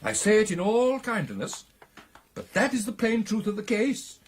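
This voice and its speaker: An actor with a British accent playing a genial, earnest gentleman clubman in a radio drama, speaking in old-fashioned upper-class phrases. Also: high-pitched, big pitch swings, moderate pace, slight background noise. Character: genial, earnest English gentleman clubman telling a ghost tale.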